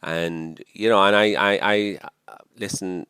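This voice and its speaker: A man's voice: deep voice, fairly even intonation, measured pace, bright, forceful tone, clear, resonant tone, dry recording. A man in his sixties with a Dublin Irish accent, a priest being interviewed, talking reflectively with many fillers and restarts. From Dublin, Ireland. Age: 60s. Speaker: priest guest.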